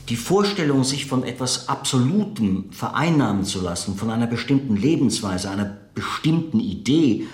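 A man's voice: on the low side, a little mellow, echoey room, a little hiss or hum. An actor playing a reflective man in a radio play, searching hesitantly and earnestly for words as he remembers a friend. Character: reflective man recalling an enigmatic late writer friend. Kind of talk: naturalistic German radio play acting, interview-like monologue.

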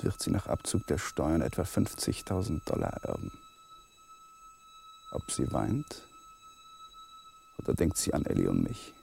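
An actor playing a cold, brooding married lawyer in a radio play, quiet in his inner thoughts and curt in dialogue. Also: very high-pitched, gravelly, slight background noise, full character voice. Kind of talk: German radio drama acting and inner monologue.

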